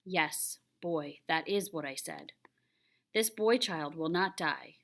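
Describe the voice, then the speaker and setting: Woman as a slow, solemn old storybook grandfather. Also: deep voice, creaky, a bit bright, exaggerated voice. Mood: gentle, solemn. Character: patient, solemn old Navajo grandfather recounting a birth tale. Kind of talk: children's picture book read aloud.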